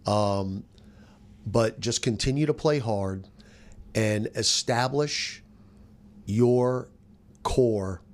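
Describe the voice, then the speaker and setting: A man's voice: lowish voice, very slow, pauses often. An American man, a sports radio announcer, giving casual analysis on a roundtable discussion.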